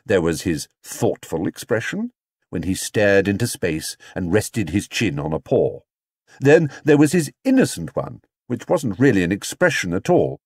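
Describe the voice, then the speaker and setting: A man's voice: on the low side, big pitch swings, moderate pace, a little mellow, slightly rough, dry recording, clean studio sound. A man reading a scripted children's story as an audiobook narrator.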